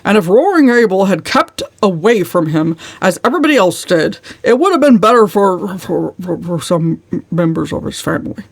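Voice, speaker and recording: A woman's voice: mid-range voice, lively intonation, moderate pace, vocal fry, no echo. A woman voicing a pompous, disapproving middle-aged uncle in a dramatic storybook reading, with a blustering manner. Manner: pompous, stammering when flustered.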